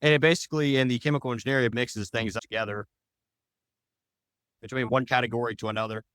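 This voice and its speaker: A man's voice: mid-range voice, fairly even intonation, quick pace, fairly bright tone, smooth, dry recording. A man giving casual, rambling interview answers as a podcast guest, in loosely phrased explanations. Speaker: podcast guest, audio drama creator.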